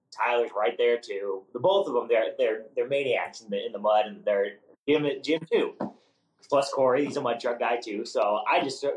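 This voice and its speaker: New England man with roomy echo. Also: medium pitch. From Massachusetts. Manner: rambling, many restarts and fillers. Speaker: rookie monster truck driver. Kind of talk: casual interview answers.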